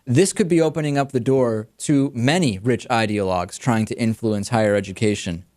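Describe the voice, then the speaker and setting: A man's voice: medium pitch, moderate pace, darkish tone. An American man hosting a talk show, giving conversational commentary and reading out audience mail.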